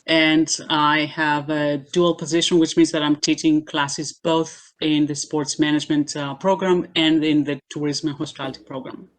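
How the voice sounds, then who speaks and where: A woman from Greece, a guest being interviewed over a video call, answering in a measured way with frequent hesitations. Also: low voice, flat delivery. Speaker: guest, assistant professor.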